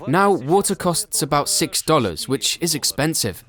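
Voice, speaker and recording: A man reading a scripted documentary voice-over, explaining things in an even, explanatory way. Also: on the high side, normal speed, a crowd in the background. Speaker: documentary voice-over narrator and translator.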